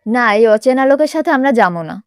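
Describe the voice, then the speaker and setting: A timid, careful schoolgirl from a moral cartoon, acted by a woman. The voice is fairly high and expressive, recorded dry. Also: quick pace, no room sound. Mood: alarmed.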